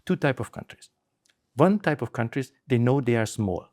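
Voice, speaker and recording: A man's voice: medium pitch, animated, moderate pace, a little mellow. A middle-aged man with a Hungarian accent, speaking conversationally as an interview guest. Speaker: interview guest, former telecom executive.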